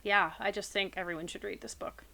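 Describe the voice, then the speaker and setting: A woman's voice: mid-range voice, fairly even intonation, a little fast, gravelly, bright, forceful tone. A woman podcast co-host giving a casual, personal review of a book.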